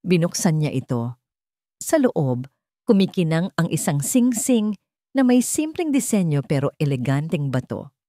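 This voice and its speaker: A woman's voice: low voice, big pitch swings, unhurried, warm tone, no echo, clean studio sound. A woman narrating a dramatic romance story in a sentimental, emphatic storytelling manner.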